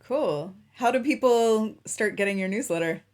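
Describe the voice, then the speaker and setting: A woman's voice: medium pitch, animated, moderate pace, darkish tone, a little hiss or hum. A woman hosting an interview, asking questions and giving short, encouraging replies. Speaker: interview host. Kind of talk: casual interview chat.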